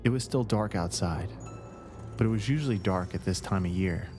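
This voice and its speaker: A man reads a scary story as its narrator, in short, deliberate phrases. His voice sits slightly low, and music plays clearly behind him. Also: darkish tone. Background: background music.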